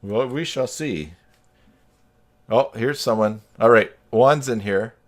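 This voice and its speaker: A man's voice: medium pitch, slow pace. A man hosting a live stream, chatting informally and jokingly with a group on a video call.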